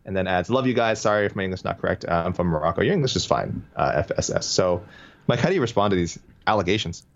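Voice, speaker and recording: A man co-hosting a sports podcast in casual chat, excitable, reading listener messages aloud. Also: sits low, fast talker, highs cut off. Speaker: podcast co-host.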